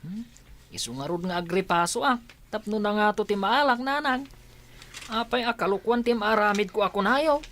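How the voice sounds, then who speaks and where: A woman's voice: mid-range voice, moderate pace, a bit bright, close to an everyday voice. A woman playing a cocky, mischievous schoolboy in a comedy radio drama, cheeky and boastful. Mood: scheming. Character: cocky, mischievous schoolboy who shirks studying.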